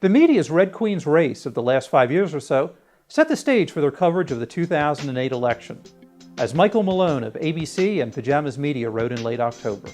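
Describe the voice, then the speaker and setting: A man's voice: on the high side, sing-song, brisk, darkish tone, soft background music. A man narrating a scripted video essay as its host, reading in the style of political media commentary.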